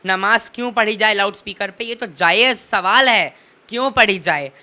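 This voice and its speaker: A man's voice: sits high, moderate pace, bright, forceful tone. A teenage young man giving impassioned political commentary in an interview, emphatic and oratorical, leaning on rhetorical repetition.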